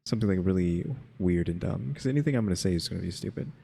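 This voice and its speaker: Low gravelly man in heavy reverb. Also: animated, very fast, warm tone, slight background noise. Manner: rambling, thinking aloud, speculative. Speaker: reaction video commentator. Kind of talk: casual off-the-cuff commentary.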